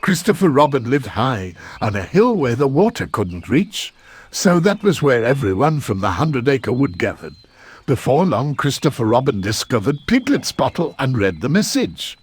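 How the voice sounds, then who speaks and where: Very animated male storybook narrator. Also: mid-range voice, normal speed, slightly rough, no echo. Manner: expressive storytelling. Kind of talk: scripted children's story narration.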